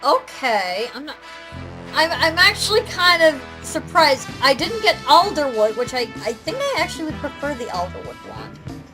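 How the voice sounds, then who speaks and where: A woman chatting casually in an animated, giggly, rambling way as co-host of a fan video. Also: high voice, normal speed, music in the background, rough recording. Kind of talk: casual chat.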